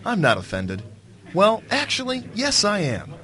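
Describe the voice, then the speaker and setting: An actor playing a proud, touchy business partner in a radio mystery, mocking and easily offended. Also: male voice, high-pitched, wildly swooping pitch, measured pace, sharp tone, full character voice. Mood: offended, defensive. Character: proud, touchy male tech company partner.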